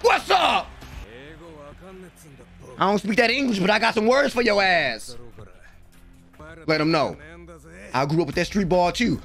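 Young male reactor, high and swooping voice, gravelly edges, slangy hype. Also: a little slow, long pauses, faint music behind. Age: young adult. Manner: animated, slangy, hyped exclamations. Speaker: anime reaction host. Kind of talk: excited live reaction commentary. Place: small room at home, indoors. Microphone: desk microphone, close.

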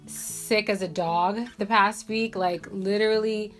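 A woman's voice: mid-range voice, steady tone, measured pace, a bit bright, faint music behind. Congested woman chatting to camera. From Jamaica. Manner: chatty, rambling, many "like" and "um" fillers. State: congested from a cold. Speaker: beauty vlogger. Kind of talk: casual chat to camera. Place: bedroom at home, indoors.

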